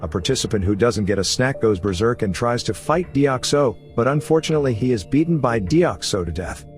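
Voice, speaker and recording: A man's voice: medium pitch, animated, a little fast, over music. A man reading scripted narration for a story recap video, as its narrator.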